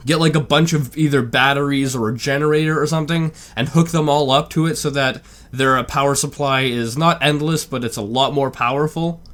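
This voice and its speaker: A man's voice: on the high side, a bit bright. A man hosting a reaction video, chatting casually in a rambling way with lots of "like". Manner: rambling, with frequent "like".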